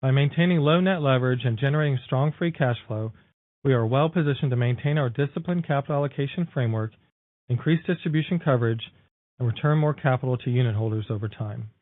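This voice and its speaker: A man's voice: medium pitch, fast talker, no echo. Male executive, formal scripted delivery on an earnings call, dry phone-line sound. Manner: formal, reading prepared text. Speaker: company executive.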